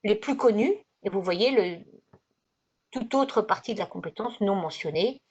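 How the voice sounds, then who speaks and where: Female online trainer, mid pitch, conversational and explanatory, rephrases often, lo-fi conference-call audio. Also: animated, unhurried, darkish tone, slightly rough. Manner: didactic, conversational, rephrases as she goes. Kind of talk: informal slide presentation, explanatory. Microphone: video conference microphone. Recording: dull, lo-fi video call audio.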